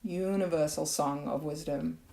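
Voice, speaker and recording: Slow, low-voiced woman guiding meditation. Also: fairly even intonation, vocal fry, poor audio. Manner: unhurried, gentle, with long pauses. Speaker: yoga nidra teacher. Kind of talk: guided meditation, slow soothing narration.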